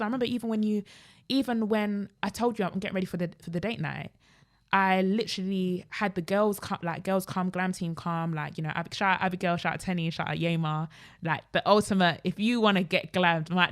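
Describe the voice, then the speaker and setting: A woman's voice: medium pitch, fairly quick. A young British woman with a London accent chatting casually and emphatically as a podcast host. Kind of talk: casual chat.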